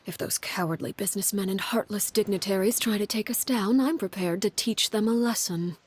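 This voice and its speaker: An actress playing a stern, composed female head of an assassin family in a game cutscene, speaking in a measured, authoritative way. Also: medium pitch, slight background noise, natural voice. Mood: commanding. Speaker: video game character. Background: faint music.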